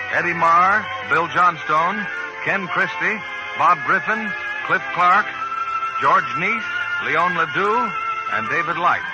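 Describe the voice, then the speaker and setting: A man reading scripted closing announcements as a 1940s radio announcer, formal and measured. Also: a little high, low-quality recording. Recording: vintage 1940s radio broadcast. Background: loud music.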